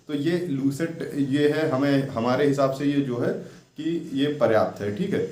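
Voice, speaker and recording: A man's voice: medium pitch, a little mellow. A man giving informal exam-preparation advice as a tutor, repeating his points and often checking that listeners follow. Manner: repetitive, instructional, frequently checks in with a tag word. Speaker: exam coaching tutor and channel host. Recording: echoey room with faint background noise.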